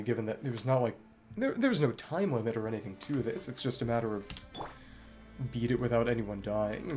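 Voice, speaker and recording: A man's voice: mid-range voice, a little mellow. A man doing live gameplay commentary as a streamer, thinking aloud in an understated, wry, musing way. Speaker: video game streamer. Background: game music.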